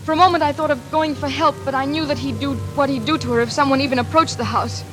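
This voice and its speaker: An actress playing an anxious, trapped daughter in a 1940s suspense radio drama, tense and dramatic, halting when flustered. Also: female voice, high voice, fairly even intonation, lightly acted. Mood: fearful. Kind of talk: 1940s radio acting, first-person suspense narration. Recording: vintage radio drama with music behind.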